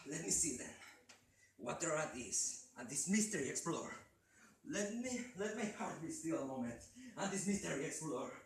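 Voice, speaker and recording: Teenage man playing a desperate grieving man, voice raised high. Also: sing-song, normal speed. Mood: anxious. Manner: desperate, declaiming. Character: grief-maddened man pleading and raging at a raven. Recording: very echoey room, distant mic, faint noise.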